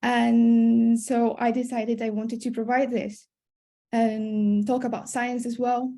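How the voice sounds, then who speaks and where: Young woman, fairly high voice, science panelist speaking about her own path. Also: level tone, takes it slowly, mellow. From born in Colombia, raised in Spain. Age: young adult. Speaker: PhD student and science communicator, panelist. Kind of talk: self-introduction at a webinar. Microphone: video call.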